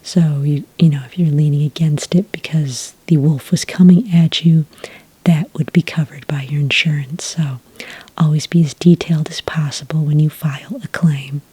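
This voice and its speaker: A woman doing a soft-spoken ASMR roleplay as a friendly registration clerk, whispery and breathy, explaining things gently and without hurry. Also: low-pitched, fairly even intonation, no room sound, poor audio. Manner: gentle, unhurried customer-service explaining. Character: friendly female registration clerk for werewolf hunters. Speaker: ASMR roleplayer as a licensing associate.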